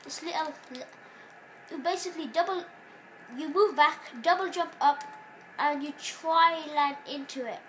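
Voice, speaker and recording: A woman hosting a gaming channel, giving casual rambling commentary on gameplay while sick, sounding nasal and stopping to cough, recorded in a dark room on a phone aimed at a TV. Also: sits high, moderate pace, a little hiss or hum, poor audio.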